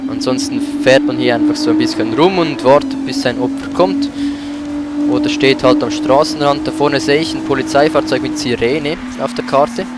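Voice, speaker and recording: A man's voice: mid-range voice, normal speed. A man giving casual commentary as he plays a video game. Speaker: let's play gamer. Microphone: voice-over mic over game audio. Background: racing game car sounds and music.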